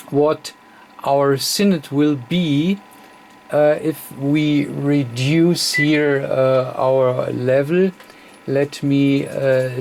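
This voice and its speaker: A man giving an unscripted technical demonstration as a radio technician, speaking in a halting, fragmented way with very frequent hesitations. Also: medium pitch, darkish tone, poor audio. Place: indoor electronics test bench. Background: radio receiver noise.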